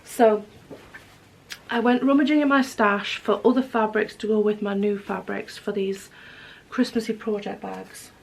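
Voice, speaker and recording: A woman vlogging about knitting and sewing at home, her voice hoarse and croaky from a cold, rambling with lots of ums and self-corrections. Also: medium pitch, fairly even intonation, a little slow, low-quality recording. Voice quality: croaky, husky. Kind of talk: casual vlog chat. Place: home living room with wood stove.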